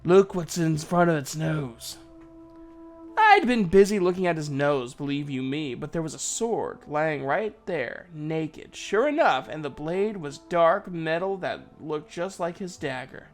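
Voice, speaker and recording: A man's voice: a little high, sing-song, measured pace, hardly any pauses, soft background music. A man narrating a fantasy story in the first person, in a folksy, conversational storyteller's manner. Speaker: narrator, voice actor.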